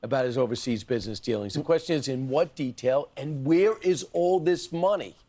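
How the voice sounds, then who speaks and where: A man gives calm, factual commentary as a guest on a television news panel. He lays out his points one by one. His voice sits at a medium pitch. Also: a little fast. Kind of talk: cable news panel talk.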